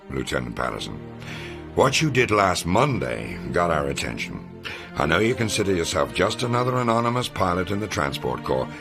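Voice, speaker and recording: A man playing a commanding, confident American intelligence officer, delivering a measured, authoritative mission briefing in a video game. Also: deep voice. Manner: authoritative, measured, matter-of-fact. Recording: music under the voice. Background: background music.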